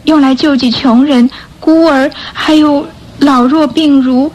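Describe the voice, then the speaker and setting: A repentant young orphan girl in a radio drama. Her female voice is high, timid and hesitant, with an earnest, pleading manner. Faint noise sits behind her. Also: fairly even intonation, unhurried, a little mellow, rough recording. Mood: earnest, hopeful.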